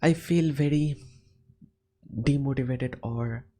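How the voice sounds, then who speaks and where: A man's voice: mid-range voice, slow, warm tone. A man from India with an Indian English accent, a teacher chatting in English over a call, often restarting his phrases. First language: Bengali. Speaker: teacher and host. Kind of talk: casual conversation.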